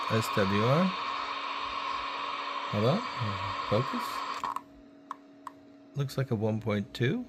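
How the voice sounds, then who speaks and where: A man's voice: mid-range voice, very noisy. A man narrating a hands-on CB radio demonstration informally as he goes, like a hobbyist vlogger.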